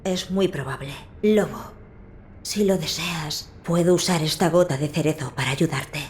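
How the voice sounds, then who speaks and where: A woman voicing a gentle young noble boy in a video game, calm and measured, with a Castilian Spanish accent. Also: low voice, slight background noise, natural voice. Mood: solemn, gentle.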